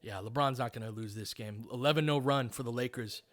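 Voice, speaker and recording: A man with a medium-pitched voice calls a basketball game live. He talks informally, like a fan of one side, and follows the action play by play. He also explains tactics in coaching detail. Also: a little fast. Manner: informal, partisan fan asides. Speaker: play-by-play commentator.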